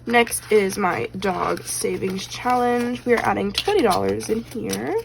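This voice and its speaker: A woman at a middle pitch talks loosely and chattily, filling her sentences with fillers and counting money out loud. Her voice is a little worn, as if she is starting to lose it. Faint clicking sounds can be heard in the background. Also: unhurried, gravelly, background noise. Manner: chatty, rambling with many fillers; counts bills aloud. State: slightly losing her voice. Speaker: budgeting vlogger. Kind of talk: casual chat while cash stuffing.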